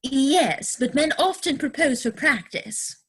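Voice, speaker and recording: An adoring, willful young lady of high society in a period stage comedy. Her voice sits very high and swoops dramatically, and she draws her words out slowly with grand affectation. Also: animated, exaggerated voice. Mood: haughty, prim. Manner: affected, gushing, grandly drawn-out delivery. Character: romantic, willful upper-class young English lady, theatrically adoring.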